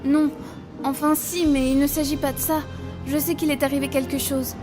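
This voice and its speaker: A woman plays a desperate young wife in an audio fiction. Her voice is extremely high, insistent and imploring. Music plays clearly underneath her words. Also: level tone, normal speed, close to an everyday voice. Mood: anxious, insistent. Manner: urgent, imploring. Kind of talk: audio drama acting.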